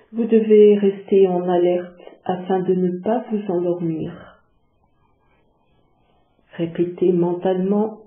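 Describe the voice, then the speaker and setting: Slow-speaking woman guiding relaxation, phone-like sound. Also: fairly low pitch. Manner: calm, measured instructions with long pauses. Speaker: yoga nidra instructor. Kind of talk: guided relaxation meditation. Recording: muffled phone-like sound, echoey, music behind.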